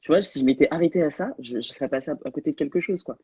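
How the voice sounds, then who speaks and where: A woman's voice: low voice, racing, dark tone, husky. A woman podcast host chatting casually and enthusiastically, laughing easily. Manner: enthusiastic, giggly. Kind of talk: casual chat on a live stream.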